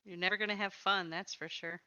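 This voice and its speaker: A woman's voice: medium pitch, fairly even intonation, fairly quick, pushed and bright, smooth, no echo, muffled recording. A woman hosting a conversational interview about tabletop gaming. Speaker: interviewer, podcast host.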